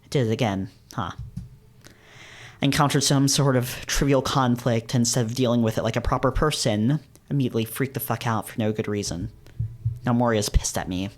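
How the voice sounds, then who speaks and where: A man's voice: mid-range voice, brisk, close to an everyday voice. Man playing young male fox, anxious, self-loathing, agitated, melodramatic, visual novel reading. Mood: panicked, self-loathing. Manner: agitated, overwrought, self-deprecating.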